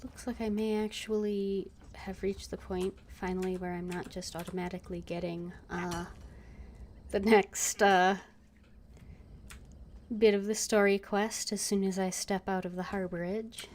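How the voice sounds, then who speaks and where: A woman streaming a game, thinking aloud in an unhurried, casual way. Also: medium pitch, level tone, slight background noise. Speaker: gaming streamer. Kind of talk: casual gameplay commentary.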